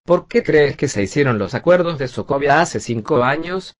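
A man's voice: on the high side, expressive, normal speed, no room sound, lightly acted. Man playing an earnest young son, dry sound. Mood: earnest, argumentative. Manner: questioning, earnest. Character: argumentative young shop worker, earnest son. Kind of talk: amateur Spanish dub acting.

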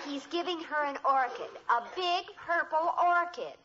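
A woman's voice: high voice, normal speed, close to an everyday voice. A woman acting a dramatic, sarcastic American teenage daughter in a 1950s radio sitcom. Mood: indignant. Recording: muffled, noisy old radio recording.

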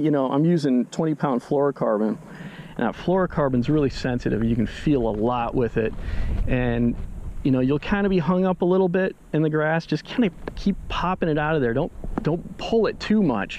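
Gravelly-voiced man teaching bass fishing. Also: a little mellow, lo-fi sound, a little hiss or hum. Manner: casual, explains step by step with many restarts. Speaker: fishing channel host. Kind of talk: instructional fishing commentary. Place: small fishing boat on a lake, outdoors.

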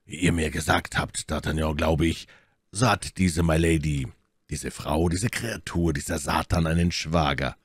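A man voicing a calm, commanding and wry musketeer in a measured way for an audiobook. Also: very deep voice, slightly rough, no echo, clean studio sound, exaggerated voice. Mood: deliberate, assured. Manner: measured, unhurried, authoritative. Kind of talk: audiobook character dialogue.

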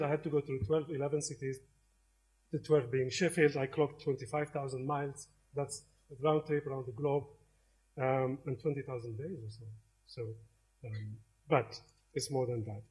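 Middle-aged man from Algeria, university lecture, conversational and halting, ordinary pitch. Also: low-quality recording. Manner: warm, conversational, with frequent hesitations and restarts. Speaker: professor giving a lecture. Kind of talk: inaugural academic lecture. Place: lecture hall.

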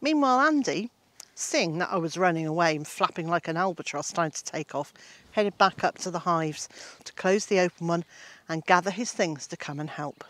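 A woman in her sixties, a gardening author and homestead host, reading a story from her own book aloud outdoors in a shady garden, with lively, animated emphasis. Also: lowish voice, moderate pace, no room sound. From Britain. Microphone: camera-facing, mid-shot.

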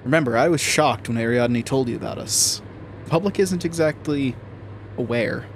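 A man's voice: medium pitch, normal speed, slight background noise, natural voice. Man playing a dry, guarded federal agent. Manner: dry, clipped, matter-of-fact. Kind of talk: horror audio drama acting.

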